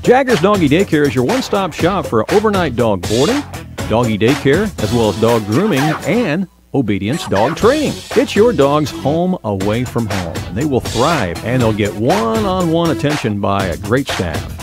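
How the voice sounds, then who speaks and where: A man's voice: mid-range voice, very animated, normal speed. A man reading a scripted local radio commercial as an announcer. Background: music bed.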